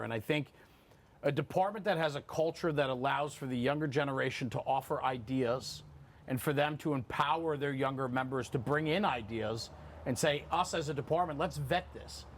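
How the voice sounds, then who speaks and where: A man with a mid-range voice hosts a round-table podcast interview. He talks conversationally, with earnest emphasis on his points. There is noticeable noise behind him. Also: medium pitch. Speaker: podcast host. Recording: clear background room noise.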